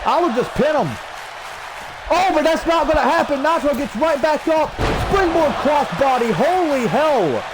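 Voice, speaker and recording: A man calling a video game wrestling match play by play, energetic with shouted exclamations and a strained throat. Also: very high voice, moderate pace. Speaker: play-by-play commentator. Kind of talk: excited wrestling commentary over video game. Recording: loud game crowd and noise behind. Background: video game wrestling crowd.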